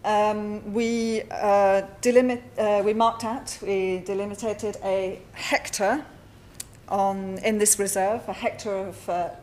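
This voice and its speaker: A woman with a Spanish accent giving a conference talk over slides, halting often with fillers and self-corrections. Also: medium pitch, fairly even intonation, darkish tone. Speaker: artist presenting at a symposium. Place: lecture hall.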